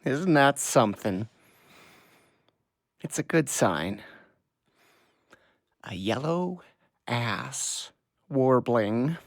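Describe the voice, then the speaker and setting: A woman in a naturalistic audio drama playing a gentle, affectionate bird-loving parent. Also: extremely low pitch, animated, very slow, pauses often, no room sound, studio quality, heavily acted. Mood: wry, amused.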